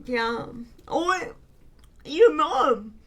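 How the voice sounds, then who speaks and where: A woman co-hosting a podcast in casual chat, earnest and affectionate, her voice a bit raspy from talking. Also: sits high, very animated. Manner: slow, earnest and affectionate, with frequent fillers. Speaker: podcast co-host.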